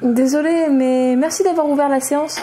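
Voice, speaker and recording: An actress plays an eager woman office worker leading a horror-story session in an audio comedy. Her voice sits fairly high and very mellow, with a theatrical streak. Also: steady tone, normal speed, slight background noise, natural voice. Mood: chatty, organizing. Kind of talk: amateur audio comedy drama.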